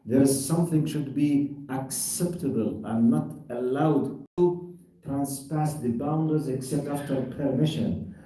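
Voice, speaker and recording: Dark-voiced man lecturing in an echoey room. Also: mid-range voice, unhurried. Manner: rhetorical questions, argues by everyday examples. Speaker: lecturer giving Quran commentary. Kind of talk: religious lesson. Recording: very echoey room, distant mic.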